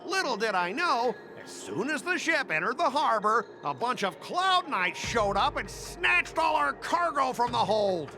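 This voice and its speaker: Quarrelsome cargo boss, very high and swooping, game score behind. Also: male voice, big pitch swings, unhurried, few pauses, pushed and bright, full character voice. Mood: grumbling. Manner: indignant, complaining, snappish. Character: quarrelsome male cargo-ship manager, abrasive and petty. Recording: game dialogue over music. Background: game music.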